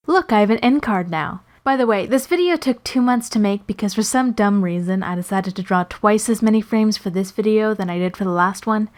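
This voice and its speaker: A woman narrating scripted comedic stories in a wry, sarcastic, exaggerating way. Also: mid-range voice. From Canada. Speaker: storyteller and animator.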